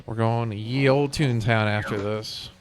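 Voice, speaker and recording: A man's voice: on the low side, steady tone, measured pace. A man giving casual gameplay commentary as a streamer, with game music behind him.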